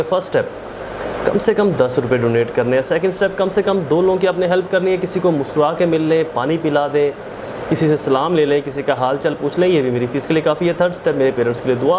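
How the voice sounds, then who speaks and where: A man with a Pakistani accent giving an explanatory law lecture, emphatically repeating and rephrasing his key points. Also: fairly high pitch. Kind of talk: explanatory lecture with English legal terms. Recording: clearly noisy background.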